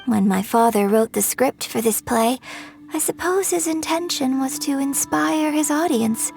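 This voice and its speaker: A poised young opera singer, with a slightly high, clear voice, lays out an old legend in measured, storytelling tones. Faint game music plays softly underneath. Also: female voice. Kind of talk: video game voice acting.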